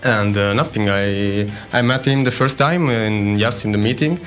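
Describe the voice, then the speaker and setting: Halting young man from Italy. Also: fairly low pitch, a bit bright, soft background music. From Italy, Tuscany. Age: 20s. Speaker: guest, student. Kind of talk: casual chat.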